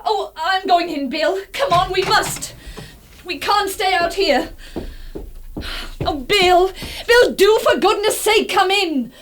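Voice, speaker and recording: An actress playing a high-strung, melodramatic wife in a vintage radio drama, speaking in breathless, halting repetitions. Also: female voice, a bit bright, slight background noise, full character voice. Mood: anxious, urgent.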